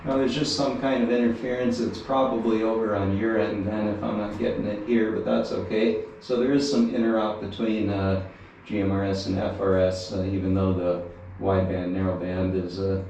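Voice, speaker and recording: A man's voice: on the low side, little pitch movement, moderate pace, creaky, darkish tone, highs cut off. A man giving a casual, unscripted gear review, hesitant and thinking aloud as he goes. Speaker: product reviewer. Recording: faint background noise.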